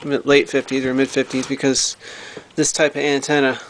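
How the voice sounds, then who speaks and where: A man talking casually and haltingly, thinking aloud as he shows a hobby repair project in a home recording. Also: medium pitch, level tone, a little hiss or hum, low-quality recording. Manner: halting, thinking aloud while handling the object. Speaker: hobbyist vlogger showing a radio repair project. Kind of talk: casual unscripted show-and-tell narration. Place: indoors at home.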